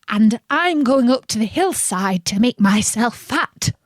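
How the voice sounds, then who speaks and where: A woman's voice: a little high, animated, a little slow, dry recording, clean studio sound. A woman voicing the middle billy goat, sturdier than the first, pleading with a fuller voice.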